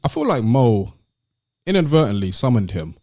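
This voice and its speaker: A British man hosting a podcast in casual banter with friends, animated and teasing in manner. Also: mid-range voice, normal speed, dry recording, clean studio sound. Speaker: podcast host.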